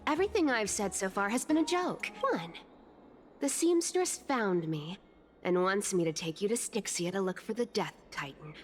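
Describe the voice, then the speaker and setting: Actress as playful female trickster thief, game character, theatrical, cocky, animated intonation, music underneath. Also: mid-range voice, moderate pace, close to an everyday voice. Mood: playful, teasing. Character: sly, playful female thief demigod, greedy and teasing. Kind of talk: video game character acting.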